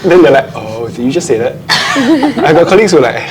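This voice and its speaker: A man's voice: a little high, huge pitch swings, fairly quick, over music. A young man with a Singaporean English accent, chatting as a podcast guest and laughing often. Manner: laughs often, drops in local particles. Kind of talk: casual chat. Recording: noisy room.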